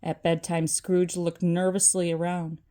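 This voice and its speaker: An older woman narrates a children's story. Her voice is mellow and she takes her time, reading aloud in a storyteller's style. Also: lowish voice, flat delivery, unhurried, a little hiss or hum.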